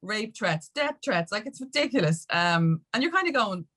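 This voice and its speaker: A woman's voice: mid-range voice, very animated, moderate pace, fairly bright tone. A woman with an Irish accent talking casually and emphatically as an interview guest, often dropping in "like" and "you know". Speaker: interview guest, music industry activist.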